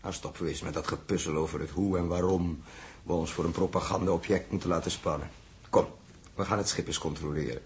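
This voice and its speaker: An actor playing a calm, reflective male spaceship captain in a 1960s Dutch radio drama. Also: low-pitched, lightly acted. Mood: calm command. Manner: measured, musing, commanding.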